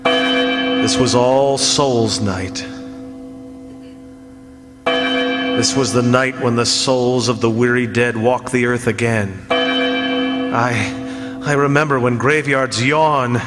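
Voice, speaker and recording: An actor playing an innocent condemned man awaiting hanging, narrating a radio horror drama in an anguished, halting, theatrical way. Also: medium pitch, lightly acted. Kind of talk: old-time radio horror drama, first-person narration. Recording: long reverb, loud music underneath. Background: loud dramatic music.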